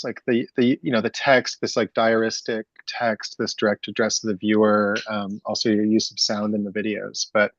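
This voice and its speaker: Gravelly, hesitant male moderator, dull dry sound. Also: on the low side, no echo. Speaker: moderator of an artist talk Q&A. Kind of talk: conversational question-asking.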